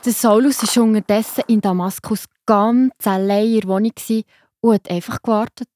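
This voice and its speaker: A woman with a Swiss German accent narrating a Bible story for children in a gentle, explaining manner. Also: medium pitch, steady tone, brisk, slight background noise.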